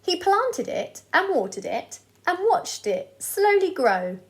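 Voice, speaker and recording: A woman reading aloud to children as a storyteller, in an expressive style. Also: very high voice. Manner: deliberate, sing-song delivery of rhyming verse.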